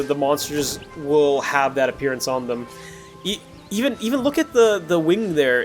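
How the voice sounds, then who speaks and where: Male gaming host, slightly high voice, chatty and reflective casual commentary with hesitant phrasing, music clearly behind. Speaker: host of a gameplay series. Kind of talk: casual post-recorded game commentary. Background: music under the voice.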